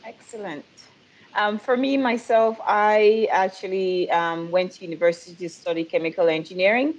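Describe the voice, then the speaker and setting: A woman's voice: mid-range voice, steady tone, moderate pace, poor audio. A woman from Nigeria, a production specialist, talking in a friendly way and asking the others questions on a video call. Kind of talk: casual video-call chat.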